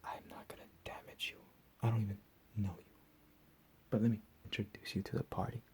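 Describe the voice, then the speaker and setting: A man playing a deranged, menacing captor in a whispered ASMR roleplay, profane and slow with drawn-out emphasis. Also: lowish voice, level tone, a little mellow, natural voice. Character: deranged menacing male captor, recently escaped asylum.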